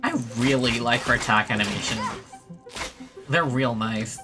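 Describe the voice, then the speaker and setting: A woman's voice: a bass voice, steady tone, measured pace. A woman streamer giving casual playthrough commentary, muttering to herself and to the game, with game music behind her. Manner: talks to self and to the game. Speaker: gaming streamer.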